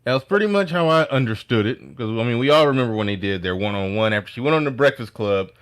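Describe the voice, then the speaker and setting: A man's voice: mid-range voice, expressive, fast talker, smooth. A man in casual online chat, rambling with many fillers.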